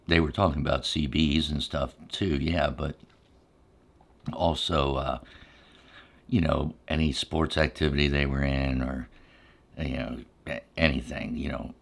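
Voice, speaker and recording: Middle-aged male, extremely low voice, halting and reflective, casual ASMR storytelling over a meal. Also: gravelly, a bit bright, slightly rough. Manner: unhurried, halting, reflective recollection.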